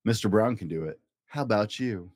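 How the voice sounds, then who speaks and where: A man with a fairly low voice reads a children's storybook aloud. His delivery is playful and rhyming, with very lively, swinging intonation. The sound is dry and close. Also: a little fast, no room sound. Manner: playful, acts out animal and object sound effects. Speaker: children's storybook reader and host. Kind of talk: expressive read-aloud of a rhyming picture book.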